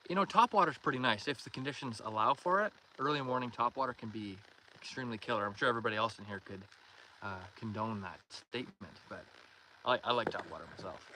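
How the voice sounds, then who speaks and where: A man's voice: mid-range voice, pushed and bright. Male Canadian livestream host, mid-range voice, friendly off-the-cuff chat with viewers, noisy outdoor canoe recording. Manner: friendly, welcoming, thanks and greets viewers by name. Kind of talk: casual livestream chat, reading and answering viewer comments.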